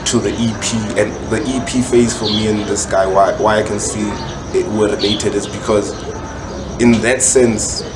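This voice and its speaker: A man's voice: lowish voice, steady tone, moderate pace, over music. A man talking as a guest rapper in a casual podcast interview, slangy and full of repeated catchphrases. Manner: slangy, repeats catchphrases like "right on" and "you know". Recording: noisy background behind the voice.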